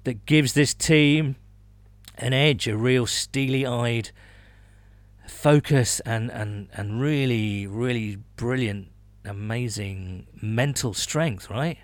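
Man in halting sports podcast chat. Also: medium pitch, no echo. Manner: halting, repeats words. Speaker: podcast co-host.

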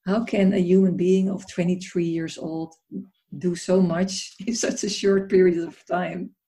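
A woman's voice: fairly low pitch, flat delivery, measured pace. A woman hosting an interview podcast, introducing her guest and asking questions in an admiring, conversational way. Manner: warmly admiring, conversational with restarts. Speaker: podcast host.

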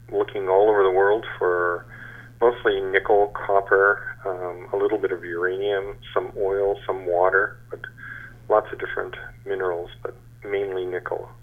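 A man in his sixties giving halting, measured answers as an interview guest. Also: low-pitched, little pitch movement. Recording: dull band-limited phone-like sound.

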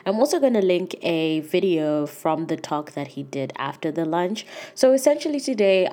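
A young woman hosts her own podcast in a loose, chatty way, and her voice is stuffy and nasal from a cold. She sits a little low for a woman, and her tone is soft and warm rather than bright. She talks with earnest energy and fills the gaps with plenty of "um" and "like.". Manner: chatty and earnest, many fillers like "um" and "like". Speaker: podcast host. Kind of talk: casual solo podcast chat.

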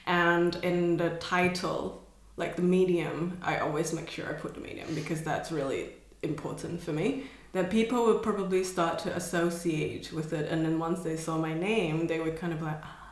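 A woman's voice: on the low side, moderate pace. Woman, hesitant, echoey room. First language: Cantonese. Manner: hesitant, searching for words, laughs often. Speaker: artist guest. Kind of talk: casual conversation.

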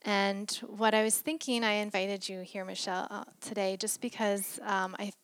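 A woman's voice: mid-range voice, level tone, normal speed. Encouraging woman hosting a podcast. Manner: warm, encouraging, eager listener. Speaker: podcast host. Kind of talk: casual interview.

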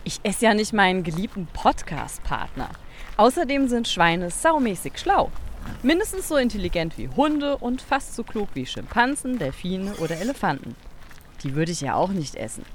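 A woman's voice: medium pitch, sing-song, normal speed, slight background noise. A woman co-hosting a children's science podcast, playful and explanatory in a scripted audio play. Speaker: podcast co-host.

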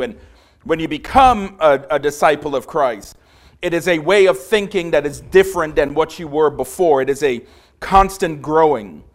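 Passionate big-voiced preacher from Trinidad. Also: male voice, on the high side. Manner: passionate, grows louder as he preaches. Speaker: visiting preacher. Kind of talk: sermon. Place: small room.

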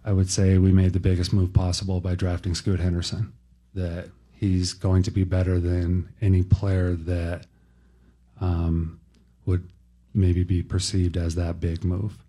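A man speaking as a team executive at a press conference, answering in careful, halting, measured phrases. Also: low-pitched, completely flat delivery, dark tone.

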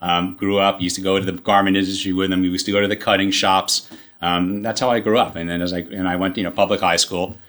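A man, a company chief telling his story in a casual interview, halting and restarting often, with dry humor. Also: low voice, little pitch movement, a bit bright. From New York, USA. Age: 60s. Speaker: guest, company CEO.

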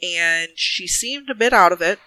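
High-pitched, very bright-voiced male player. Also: lively intonation, a little slow, smooth, rough recording. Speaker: player in a tabletop role-playing game. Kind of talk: casual improvised in-character dialogue.

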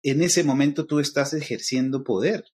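A man with a Peruvian accent, a guest lecturer explaining ideas thoughtfully in a podcast interview, often pausing on fillers. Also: mid-range voice, a little mellow. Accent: Peruvian Spanish. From Peru.

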